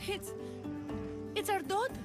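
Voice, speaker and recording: An actress playing a scornful, exasperated ancient Greek wife and mother in a video game, with loud music behind her. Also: very high-pitched, expressive, a little slow. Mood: exasperated, worried. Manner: sarcastic, forceful. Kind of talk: video game cutscene acting.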